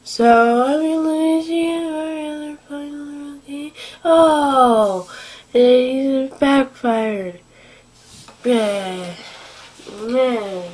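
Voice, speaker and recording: Slow, sniffly young gamer woman. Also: high-pitched. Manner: rambling, chatty, with frequent filler words and quiet asides. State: sniffly from allergies. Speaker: gameplay commentator. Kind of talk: casual let's-play commentary. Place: home room at a desk.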